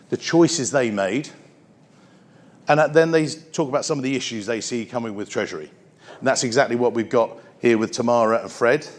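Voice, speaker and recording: Joking English man hosting a panel. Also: mid-range voice. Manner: jokey, self-deprecating. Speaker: panel host and recruiter. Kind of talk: live conference panel intro. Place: conference stage.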